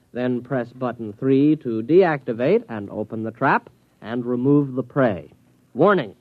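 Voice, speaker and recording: A man playing an eager, curious amateur hunter with an excitable, earnest manner in a 1950s radio comedy. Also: medium pitch, no room sound, lightly acted. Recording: dull vintage radio recording.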